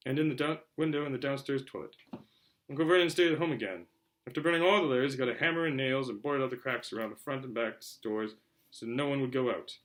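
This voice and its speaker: A man narrating a children's novel aloud in an animated way, occasionally stumbling over words. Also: mid-range voice, quick pace, rough recording. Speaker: reader, show host. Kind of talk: amateur audiobook-style story narration.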